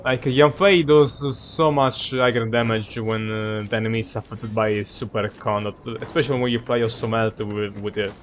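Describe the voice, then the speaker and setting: A man livestreaming a game with casual, rambling, halting and self-mocking commentary. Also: lowish voice, steady tone, a little hiss or hum. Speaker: game streamer.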